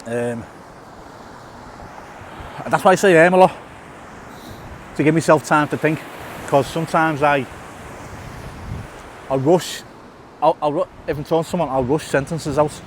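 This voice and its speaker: A man's voice: fairly high pitch, normal speed, long pauses. Casting note: man, lisp, stutters and restarts, vlogging outdoors over faint traffic. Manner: stutters, repeats and restarts words, fillers. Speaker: YouTuber. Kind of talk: casual walk-and-talk vlog. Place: outdoors in a town, by a tunnel.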